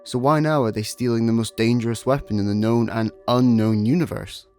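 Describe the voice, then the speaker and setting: A Scottish man acting as the wry, deliberate narrator of a comic sci-fi radio play, deadpan and mock-grand. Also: mid-range voice, smooth, natural voice. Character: wry, measured storyteller of a comic space adventure. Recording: music behind the voice.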